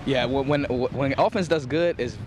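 A young man, a college football player, answering postgame questions in a halting way with many fillers. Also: mid-range voice, noisy background. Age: young adult. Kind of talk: postgame interview.

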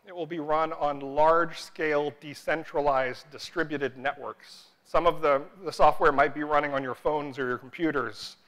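Bright-voiced man giving a keynote. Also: on the high side, poor audio. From United States. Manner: self-deprecating, joking asides, frequent "uh" fillers. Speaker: conference keynote speaker. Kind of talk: humorous conference talk. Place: large conference stage indoors.

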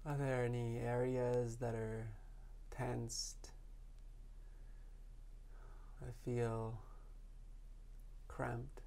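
A man leading a guided meditation as a teacher, speaking in a soft, calming way with long pauses. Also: mid-range voice, vocal fry.

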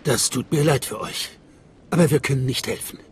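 A man's voice: medium pitch, moderate pace, a little hiss or hum, natural voice. A man playing a guarded, unwelcoming cult gatekeeper in a German game dub, stern and dismissive.

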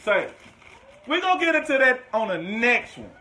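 A man's voice: very high-pitched, sing-song, normal speed, pushed and bright. A man giving a casual cooking demonstration as a host, informal and slangy, often repeating his instructions. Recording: echoey room with background noise.

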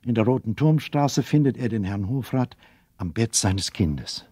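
A man's voice: on the low side, expressive, a little slow, mellow, slightly rough, no room sound, clean studio sound. A man narrating a scripted story for an audio drama in a dramatic storytelling style.

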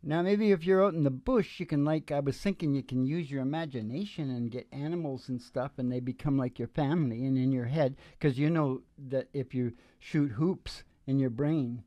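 Older male musician-host, folksy and halting stage banter, mid pitch, dry mic sound. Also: warm tone, no echo, muffled recording. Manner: folksy, halting, joking asides. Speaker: host and musician of a live radio show. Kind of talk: casual rambling stage chat between songs. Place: small indoor stage with curtain backdrop. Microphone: stage microphone on a stand.

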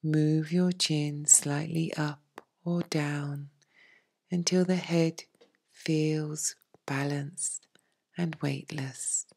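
Slow, low, breathy woman guiding meditation. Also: steady tone. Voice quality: soft, breathy, near-whispered. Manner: soothing, hushed, measured phrasing with long pauses. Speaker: yoga nidra meditation teacher. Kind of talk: guided meditation narration.

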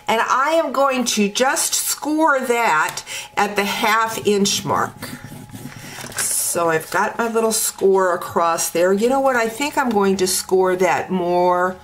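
A woman teaching card making step by step at her craft table, talking in a relaxed way with many ums and uhs. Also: mid-range voice, rough recording. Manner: slow, relaxed talk with frequent ums and uhs.

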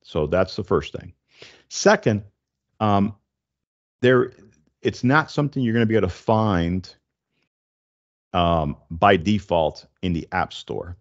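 A man in his forties hosting a casual tech explainer, speaking in a halting, stop-and-start way into a close desk microphone. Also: deep voice, lo-fi sound. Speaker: tech show host. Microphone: desk condenser microphone, close, with headset.

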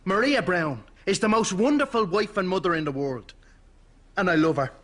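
An actor playing an earnest, lovestruck Irish husband in a sitcom. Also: male voice, sits high, lively intonation, moderate pace, fairly bright tone. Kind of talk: sitcom acting.

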